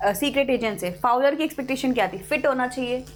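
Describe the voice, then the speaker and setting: A young Indian woman teaching an English class online, explaining in Hindi mixed with English and reading English passages slowly. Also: high voice, a little hiss or hum.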